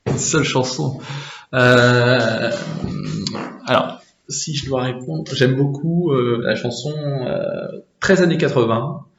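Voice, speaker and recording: A young French man from Normandy, answering interview questions casually with many hesitant pauses. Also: mid-range voice, fairly bright tone, roomy sound, muffled recording. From France, Normandy.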